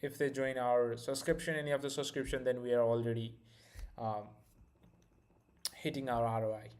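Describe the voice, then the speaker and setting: A man's voice: medium pitch, level tone, normal speed, darkish tone. Brief: young male streamer, Indian accent, easygoing and rambling, mid-range voice. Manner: loose, filler-heavy, restarts often. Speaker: live-stream host. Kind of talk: casual live-stream chat and product demo.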